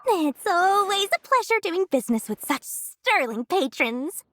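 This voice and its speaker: High, sing-song sly little merchant girl. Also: exaggerated voice. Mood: sly, salesy. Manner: flattering, flowery salesperson talk with dramatic drawn-out phrases. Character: sly, theatrical young female merchant, sugary and greedy. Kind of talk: anime game voice acting.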